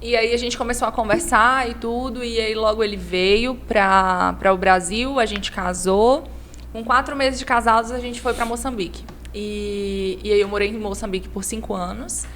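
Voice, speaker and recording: A woman's voice: a little high, fairly even intonation, normal speed, fairly bright tone, slight background noise. A woman pastor from Imperatriz, telling her life story as a podcast guest. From Imperatriz, Brazil. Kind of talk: personal storytelling.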